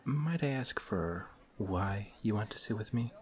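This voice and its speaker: An actor playing a courteous, formal villain who is sly and quietly taunting, in a one-sided audio roleplay. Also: male voice, lowish voice, lively intonation, unhurried. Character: suave, polite male villain in civilian clothes. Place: coffee shop scene with faint café chatter.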